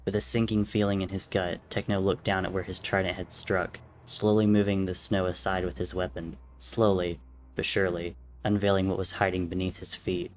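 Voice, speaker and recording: A man's voice: sits low, little pitch movement, normal speed, a little hiss or hum. A man reading fiction as a dramatic, scripted narrator. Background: soft music or ambience.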